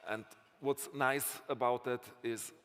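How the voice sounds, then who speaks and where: A man with a Slovak accent, answering audience questions after a conference talk in a thoughtful, halting way, often restarting phrases. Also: mid-range voice, steady tone, fairly bright tone, clean tone. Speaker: conference speaker. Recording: reverberant conference hall.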